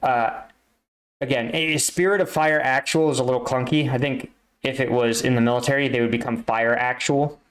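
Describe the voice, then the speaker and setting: A man's voice: mid-range voice, steady tone. American man giving casual reaction commentary. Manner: halting, thinking aloud, self-correcting. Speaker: reactor, army combat veteran.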